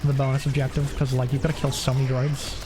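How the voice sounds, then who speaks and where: A man's voice: mid-range voice, completely flat delivery, fast talker, over music. A man streaming a video game with casual play-by-play, chatting and thinking aloud. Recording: clear game noise behind voice. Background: video game sounds.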